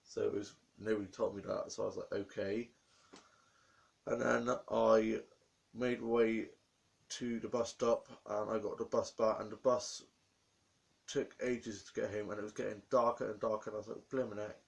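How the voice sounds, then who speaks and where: A young man with a croaky voice from a cold, rambling through a diary vlog about his day. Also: lowish voice, level tone, normal speed. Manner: rambling, run-on storytelling. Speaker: vlogger.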